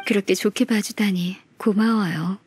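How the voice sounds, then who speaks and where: A woman playing a gentle, dependable senior secretary in a video game, soft-spoken, polite and measured like a kindly mentor. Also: mid-range voice, steady tone, slightly rough. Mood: reassuring.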